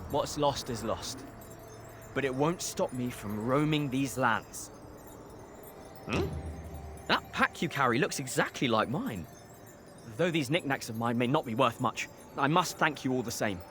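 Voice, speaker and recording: Boastful, unhurried wandering hero. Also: male voice, mid-range voice. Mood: breezy, grateful. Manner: grandiose, self-assured. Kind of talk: video game cutscene acting. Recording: background music under the voice.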